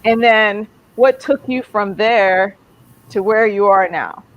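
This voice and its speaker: A woman's voice: mid-range voice, slow, dull audio. A woman hosting a casual live interview, chatty and enthusiastic, with lots of fillers. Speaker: host.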